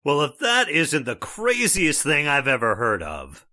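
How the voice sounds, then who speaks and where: A man's voice: on the high side, huge pitch swings, measured pace, sharp tone, full character voice. A man playing a breezy, doubting new owner of an old house in a radio drama. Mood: skeptical. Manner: breezy, doubting, casual slang.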